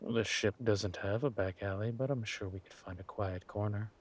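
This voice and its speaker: A man playing a flirtatious, smug villain king in a roleplay audio drama, talking to his spouse in a husky, teasing, languid way. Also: lowish voice, fairly even intonation, close to an everyday voice. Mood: flirtatious, relaxed. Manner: teasing, languid, amused, drawn-out phrasing with murmured asides.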